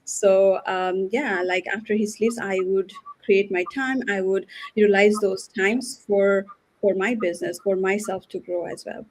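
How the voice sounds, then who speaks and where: A woman's voice: medium pitch, steady tone, unhurried, low-quality recording. A woman in her late thirties from Nepal, a guest on a live video call, talking earnestly and repeating words as she thinks. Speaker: guest, nurse and business owner. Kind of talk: live online interview.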